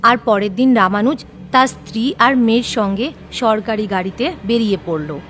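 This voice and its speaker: A woman's voice: fairly high pitch, normal speed, a bit bright, slight background noise. A woman narrating a scripted horror story.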